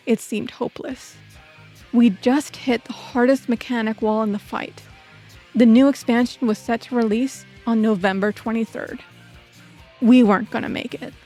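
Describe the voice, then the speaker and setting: A woman's voice: on the high side, steady tone, normal speed, a little mellow, faint music behind. A woman telling a personal story as scripted video essay narration.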